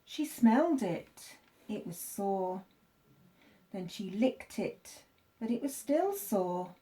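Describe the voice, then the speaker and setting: Female primary teacher, storybook read-aloud, unhurried and deliberate, very lively intonation. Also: mid-range voice, poor audio. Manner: expressive, deliberate phrasing for young children. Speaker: teacher reading to pupils.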